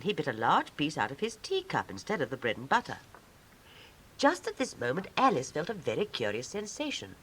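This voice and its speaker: A woman's voice: a bass voice, fairly bright tone, a little hiss or hum, poor audio. A woman with a British Received Pronunciation accent reading scripted storybook narration in a 1950s style.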